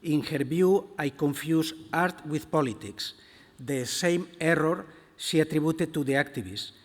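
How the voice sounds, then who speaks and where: Keynote: older Spanish-accented man, slightly high voice, slow careful reading, reverberant hall. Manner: slow and deliberate, with many hesitations. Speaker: keynote speaker. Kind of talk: reading a conference lecture. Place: large conference hall. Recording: echoey conference hall.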